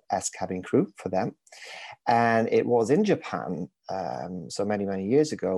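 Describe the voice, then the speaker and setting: A man in his forties with a low voice and a Belgian accent that slips into a Manchester twang. He talks thoughtfully and often pauses to search for words, with a light self-mocking humour. The sound is close and dry, with no room around it. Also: darkish tone, no room sound. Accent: Belgian with a touch of Manchester. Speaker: guest, mindfulness coach. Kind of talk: reflective podcast interview.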